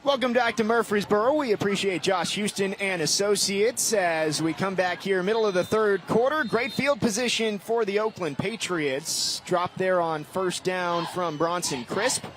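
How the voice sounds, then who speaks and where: A man's voice: sits high, normal speed, hardly any pauses, fairly bright tone, soft background music. A man calling live sports play-by-play in clipped, vivid phrases. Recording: noisy live game broadcast.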